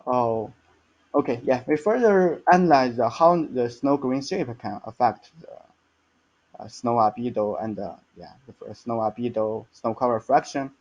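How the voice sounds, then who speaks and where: A man giving a technical slide presentation as a research scientist, halting and hesitant, with frequent stumbles and repeats. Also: mid-range voice, a little mellow, muffled recording.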